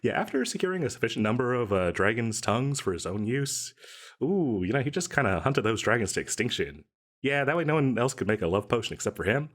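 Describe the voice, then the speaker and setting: A man's voice: mid-range voice, big pitch swings, fast talker, fairly bright tone. A man acting an overeager, swooping shady salesman. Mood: chatty, impressed. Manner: rambling, stammering, theatrically coy, chuckling sales patter. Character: overeager male yandere-agency salesman, chatty and cheerfully shady. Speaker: audio roleplay voice actor. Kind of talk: comedic audio roleplay, one side of a conversation.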